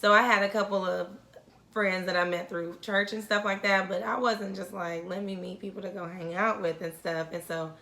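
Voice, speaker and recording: Woman, podcast co-host, bright voice, laughs often, echoey room. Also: medium pitch, fairly even intonation, normal speed. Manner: laughs often, drops softer when reflecting. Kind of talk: casual storytelling chat. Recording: roomy echo.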